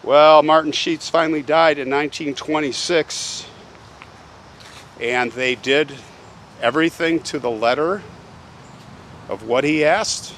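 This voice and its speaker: A man's voice: fairly high pitch, unhurried, long pauses, a bit bright, a little hiss or hum. A man telling a story on location as a video host, in a theatrical, suspenseful way, repeating phrases for effect. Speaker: host of a cemetery history video channel. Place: outdoors, walking in a cemetery.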